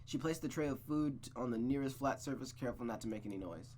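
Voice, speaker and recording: A man's voice: medium pitch, fast talker, darkish tone, a little hiss or hum. A man reading a visual novel aloud in a suspenseful storytelling style, with playful, casual asides.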